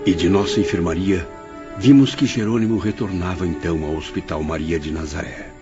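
Actor as very deep, solemn spirit narrator. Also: male voice, lo-fi sound, music in the background, natural voice. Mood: solemn, reflective. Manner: measured, solemn, reflective. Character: male spirit soberly recounting his memoirs of the afterlife. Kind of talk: scripted radio drama narration.

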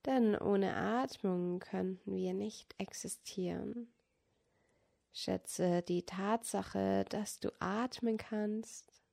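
Very slow-speaking woman guiding meditation. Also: medium pitch, gravelly. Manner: soft, calm, soothing, with long pauses. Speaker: yoga and meditation teacher, podcast host. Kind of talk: guided yoga nidra meditation.

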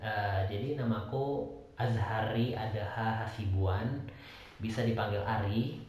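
Young male podcaster, softened Medan accent, lowish voice, rambling solo chat, very echoey room. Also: unhurried, a little hiss or hum. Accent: Medan Indonesian, softened. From Medan, North Sumatra. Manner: rambling, many fillers and restarts. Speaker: podcast host. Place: indoors at home, late night.

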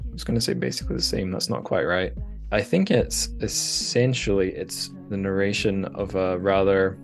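Male podcast host, notably deep voice, chatty and informal, feels his way through sentences with self-corrections. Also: moderate pace, vocal fry, faint music behind. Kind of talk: casual interview chat.

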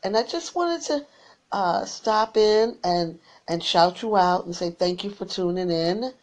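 A tired woman who is losing her voice, rambling through friendly shout-outs to listeners on her small internet radio station. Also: mid-range voice, lively intonation, a little slow. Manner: rambling and warm, repeats her sign-off.

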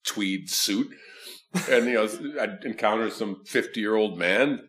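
A man with a deep voice chatting casually, telling self-deprecating stories with frequent stammering restarts. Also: measured pace, fairly bright tone. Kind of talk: casual conversation.

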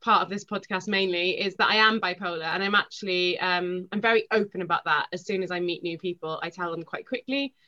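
A woman's voice: fairly low pitch, fairly even intonation, brisk, hardly any pauses, pushed and bright, muffled recording. A woman from Wales chatting openly and casually as a podcast guest. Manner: chatty, open. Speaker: podcast guest, writer and actor. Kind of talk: candid, casual interview chat.

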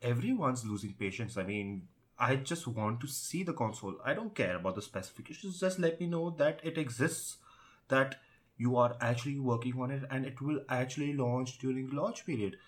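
A man's voice: mid-range voice, lively intonation, moderate pace. A man co-hosting a casual discussion about tech and gaming, speaking in an opinionated, emphatic way. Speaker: podcast co-host.

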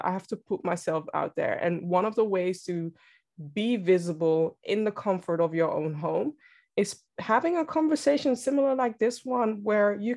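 A woman's voice: medium pitch, vocal fry, a little mellow. Dutch woman guest, reflective and upbeat. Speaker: podcast guest, entrepreneur. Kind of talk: casual interview conversation.

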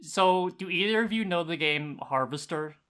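Bright, higher-voiced man chatting casually. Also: moderate pace, clean tone. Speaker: podcast co-host. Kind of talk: casual chat.

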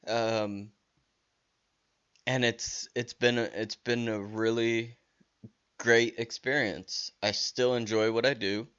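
Halting, slow-talking man losing his voice. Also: mid-range voice, flat delivery, fairly bright tone, clear, resonant tone, muffled recording. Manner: rambling, halting, with many restarts and fillers. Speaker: podcast host. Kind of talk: casual solo podcast talk.

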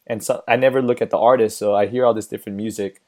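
Man, podcast host, hoarse, raspy, laid-back, laughs easily, casual interview. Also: lowish voice, steady tone, fast talker, darkish tone. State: hoarse, lost voice.

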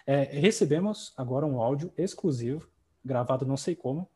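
A man hosting a podcast, talking in a relaxed, conversational way with frequent tag questions. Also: mid-range voice, fairly quick, breathy edge. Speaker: podcast host. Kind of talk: casual podcast chat.

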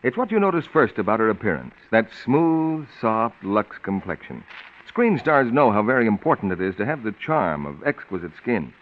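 Smooth, persuasive 1940s radio announcer. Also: male voice, mid-range voice. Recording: dull 1940s radio broadcast with hiss.

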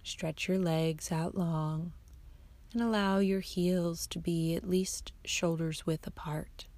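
A woman guiding a meditation in a soft, soothing, hushed voice that turns breathy at times, with unhurried instructions and long pauses. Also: deep voice. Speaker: yoga nidra guide, host of the episodes. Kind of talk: guided meditation narration.

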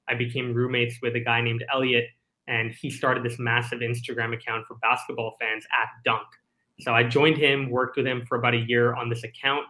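A man's voice: medium pitch, pushed and bright, rough recording. A man in his twenties from Singapore, a startup CEO telling his story in an articulate, thoughtful way during a casual interview. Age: 20s.

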